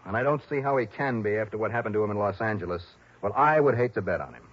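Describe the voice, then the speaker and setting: An actor playing a tough, principled American private investigator in an old radio drama, wry and hard-boiled. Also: male voice, on the low side, lively intonation, fast, slightly rough. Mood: urgent. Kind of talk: 1960s radio detective drama acting and narration. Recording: muffled, hissy old radio recording.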